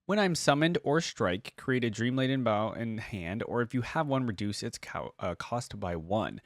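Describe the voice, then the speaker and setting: A man's voice: mid-range voice, expressive, moderate pace, no echo. A man giving casual reaction commentary as a video host, informal and musing as he thinks aloud. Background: music from the videos being played.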